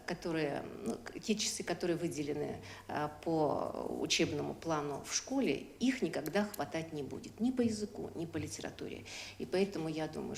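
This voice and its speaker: Low-voiced woman lecturer in a roomy hall. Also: a little mellow. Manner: measured, with frequent hesitations. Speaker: university lecturer. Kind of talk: round-table discussion. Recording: roomy hall with faint noise.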